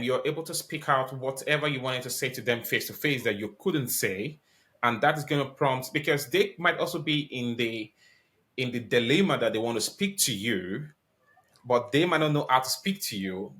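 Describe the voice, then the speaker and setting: Bright-voiced hesitant podcast host. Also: male voice, medium pitch, animated, moderate pace. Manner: hesitant, many ums and restarts, polite. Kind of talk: casual podcast interview.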